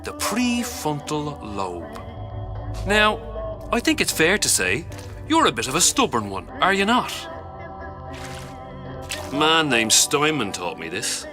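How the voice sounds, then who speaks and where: An actor playing a sadistic, mockingly affectionate crime boss in a video game, with an Irish accent and a taunting, drawn-out delivery. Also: male voice, on the high side, wildly swooping pitch, full character voice. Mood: mocking menace. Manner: taunting, theatrical, drawn-out phrasing. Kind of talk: video game cutscene acting. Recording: music behind the voice.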